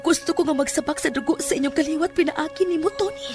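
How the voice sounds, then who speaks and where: A woman acting as an intense young woman in a horror radio play. Her voice is high and full of longing. Music plays clearly beneath her. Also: quick pace, lightly acted. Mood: intense pleading. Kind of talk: horror audio drama acting in Cebuano. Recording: music under the voice.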